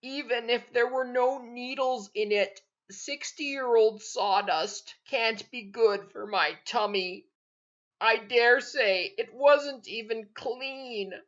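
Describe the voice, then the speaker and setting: A woman's voice: on the high side, slow, lo-fi sound, voice pushed up. A woman voicing a groaning, theatrical old uncle who complains reproachfully with a lilt.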